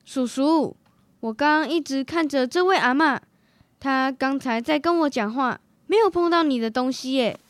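A man's voice: very high-pitched, moderate pace, a bit bright, no room sound. A young boy acting a polite, helpful schoolboy minding a shop in a radio drama. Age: child.

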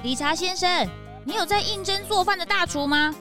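A woman voicing an eager, excitable young detective's sidekick, childlike and a little whiny, in a children's story. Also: extremely high pitch, moderate pace, music in the background. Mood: helpful. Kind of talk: children's audio story acting.

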